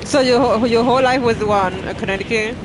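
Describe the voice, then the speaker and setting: A woman's voice: fairly high pitch, fast talker, noisy background. A woman from Puerto Rico with a New York accent, a streamer chatting casually with friends while gaming, brash, swearing and teasing.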